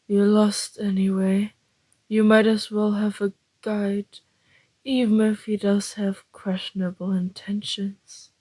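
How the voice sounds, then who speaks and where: A woman acts out a flirtatious male vampire in an ASMR roleplay, predatory yet courteous. The voice is very slow and dark, dropping into breathy half-whispers with a teasing, deliberate delivery. It sounds close and dry. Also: medium pitch, level tone, no echo. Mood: amused, teasing. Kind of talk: ASMR roleplay, intimate scripted monologue.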